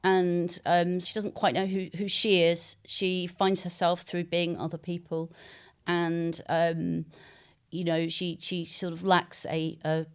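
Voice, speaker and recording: A woman novelist being interviewed, speaking conversationally and thoughtfully, often pausing to search for words. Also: on the low side, flat delivery, clear, resonant tone.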